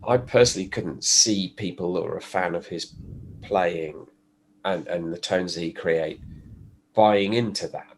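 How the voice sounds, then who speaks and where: A man with a stuffy cold, explaining his work in a relaxed podcast chat with fillers and restarts. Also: deep voice, measured pace, a little hiss or hum, rough recording. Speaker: guest, pickup designer. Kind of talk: casual podcast conversation.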